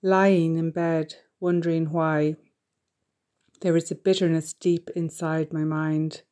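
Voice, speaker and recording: Woman, poet, low mellow voice, slow deliberate poetry reading. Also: level tone. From Ireland.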